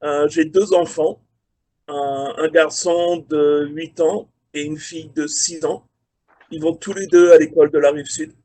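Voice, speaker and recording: Frenchman, forties, career mentor, slightly higher voice, fills pauses with "euh". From France. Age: 40s. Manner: relaxed, hesitant with many fillers. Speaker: career mentor, quality manager. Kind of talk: video call interview. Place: his office at a factory. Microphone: video call.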